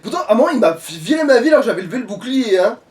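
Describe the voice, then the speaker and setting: Male gamer, let's play style. Rapid, crude and slangy delivery, recorded a little away from the mic. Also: extremely high pitch, big pitch swings, recorded from a distance. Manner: crude, slangy, swears often. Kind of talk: casual gaming chat while playing.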